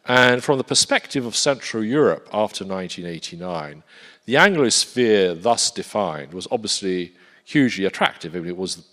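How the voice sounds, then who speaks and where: Man, Polish-born, English accent, very low voice, hesitant repeats, long hall reverb. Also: a bit bright. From Poland. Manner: halting, repeats words while searching for phrasing. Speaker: forum panelist. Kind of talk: conference talk. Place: conference hall.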